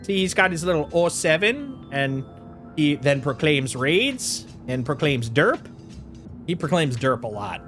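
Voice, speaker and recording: Animated, slightly nasal Wisconsin man. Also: fairly high pitch, unhurried, faint music behind. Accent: Upper Midwest (Wisconsin), played up. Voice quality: a little nasal. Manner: joking and animated, laughs often, slips into exaggerated folksy exclamations. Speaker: game streamer. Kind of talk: casual live-stream chat.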